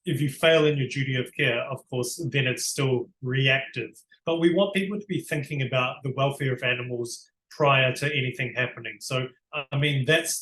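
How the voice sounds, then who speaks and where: A man's voice: mid-range voice, a bit bright. Man, new zealand accent, earnest, explanatory, echoey room, faint background noise. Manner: earnest, explanatory, frequent restarts. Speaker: barrister, interview guest. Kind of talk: conversational interview.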